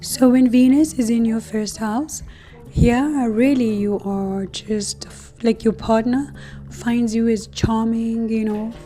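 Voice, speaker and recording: A woman hosting an astrology talk to her viewers, hoarse and losing her voice, speaking casually with many fillers and soft laughs. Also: a little high, soft background music. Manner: slow, relaxed, many fillers and light laughs. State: losing her voice, deeper than usual. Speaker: astrology channel host.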